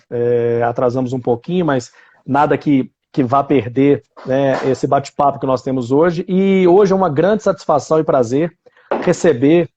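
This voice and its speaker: A man's voice: medium pitch, mellow, highs cut off, slight background noise. A man with a Brazilian Portuguese accent hosting an online interview, chatty and enthusiastic, with plenty of filler words. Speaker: interview host.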